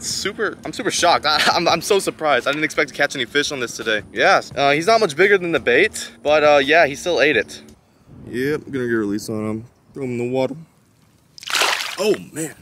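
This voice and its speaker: A young adult man chatting casually to viewers in a slangy, excitable outdoor vlog style. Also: a little high. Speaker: fishing vlogger. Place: outdoors beside a pond. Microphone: chest-mounted action camera or camera close in front. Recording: outdoor ambient noise on action camera.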